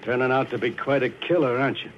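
A man's voice: mid-range voice, fairly even intonation, fast talker, slight background noise, natural voice. An actor playing a mocking male chief mate on a ship in 1940s radio drama style. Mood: sly. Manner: taunting, then gasping. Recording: muffled old radio broadcast with music.

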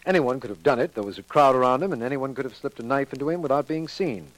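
An American man acting a brisk, insistent district attorney in a 1940s radio drama, with an emphatic official manner. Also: mid-range voice, expressive, natural voice. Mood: puzzled, insistent. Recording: noisy 1940s radio recording.

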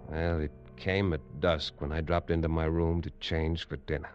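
A man's voice: rumbling low, level tone, normal speed. A man narrating a 1950s adventure radio drama in the first person, with tense, hard-boiled delivery. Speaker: lead actor and narrator. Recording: dull old radio recording, faint music.

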